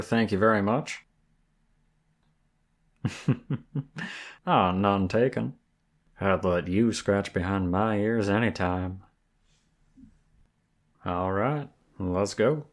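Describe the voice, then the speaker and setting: A man's voice: fairly low pitch, slow pace, long pauses. A man voicing a flirty, friendly werewolf guide in an ASMR roleplay, with a folksy, teasing Southern US drawl. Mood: teasing, amused. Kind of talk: ASMR roleplay, intimate one-sided dialogue.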